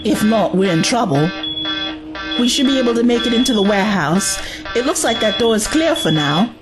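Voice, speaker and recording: A woman's voice: medium pitch, lively intonation, moderate pace, close to an everyday voice. A woman playing a wary, resolute young companion in an audio drama, firm and urgent. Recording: music under the voice.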